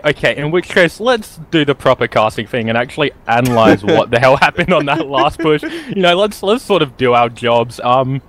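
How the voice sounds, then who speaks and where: A man's voice: medium pitch, fairly bright tone. A man co-casting a live esports match in a chatty, upbeat way, laughing now and then between phrases. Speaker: esports caster. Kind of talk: live game commentary.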